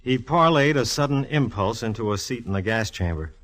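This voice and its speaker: An actor playing a smart, teasing police inspector in a vintage radio detective drama, with slangy, deadpan banter. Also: male voice, mid-range voice, fairly quick, lightly acted. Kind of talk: 1940s radio detective acting.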